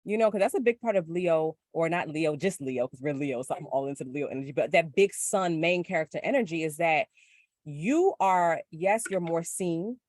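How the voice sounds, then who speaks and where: A woman with a low, gritty voice, chatting casually and reflectively as a guest, with lots of filler words. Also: a little mellow, no room sound, studio quality. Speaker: podcast guest. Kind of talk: casual spiritual chat.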